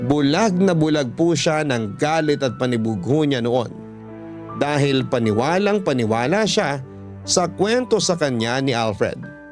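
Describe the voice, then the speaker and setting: A man with a Filipino accent reading a first-person story as scripted dramatic radio narration. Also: mid-range voice. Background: background music.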